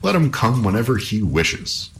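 Man acting a stubborn young hero, pitched up. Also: sing-song, normal speed, slightly rough, exaggerated voice. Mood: bold defiance. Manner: defiant, emphatic. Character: stubborn, fearless young male folk-tale hero.

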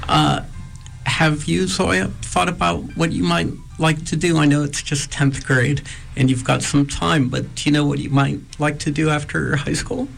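A man hosting an interview, asking students questions in a halting way with many pauses. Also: fairly high pitch, steady tone, gravelly, a bit bright, soft background music, low-quality recording. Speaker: interviewer host.

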